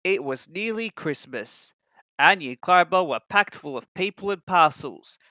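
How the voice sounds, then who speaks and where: Guy narrating a kids storybook, highish bright voice, very dry recording. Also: expressive, normal speed, dry recording. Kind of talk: scripted children's story narration.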